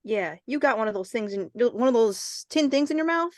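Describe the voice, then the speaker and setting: An excitable little schoolboy acted by a woman in an old-time radio thriller. The voice is high and swings widely as he talks in folksy slang, eager one moment and skeptical the next. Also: moderate pace, no room sound, studio quality, close to an everyday voice. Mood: excited, eager. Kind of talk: old-time radio suspense drama, performed live.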